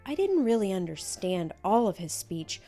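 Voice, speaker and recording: A woman's voice: medium pitch, big pitch swings, normal speed, warm tone, faint music behind. A woman narrating a children's storybook in a scripted read-aloud.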